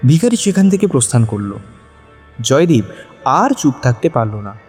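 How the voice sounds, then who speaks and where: Guy narrating audio story, dramatic, lively pitch, big reverb, music under it. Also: normal speed, slightly rough. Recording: long hall reverb on voice.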